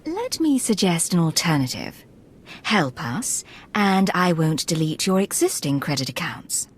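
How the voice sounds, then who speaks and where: A woman's voice: lowish voice, sing-song, measured pace, husky, full character voice. An actress playing a self-assured female imperial agent in a video game, controlled and wry. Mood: cool, firm.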